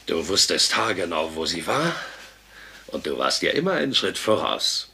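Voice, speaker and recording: A man's voice: low voice, very animated, normal speed, creaky, pushed and bright, husky, exaggerated voice. An actor playing a joking, big-hearted working-class husband and father in a dubbed sitcom, with playful delivery. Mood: earnest. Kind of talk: German dubbed sitcom acting.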